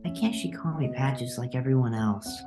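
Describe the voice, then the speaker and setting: A woman's voice: rumbling low, expressive, normal speed, over music. A woman voicing an innocent, eager little boy mouse with a childlike, questioning manner in an audio drama. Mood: puzzled. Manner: childlike, questioning, wide-eyed.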